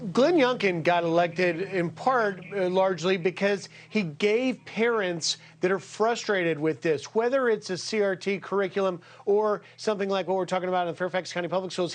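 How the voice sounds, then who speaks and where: A man anchoring a TV news segment, introducing a guest and asking pointed questions. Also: high voice. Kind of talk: TV news interview.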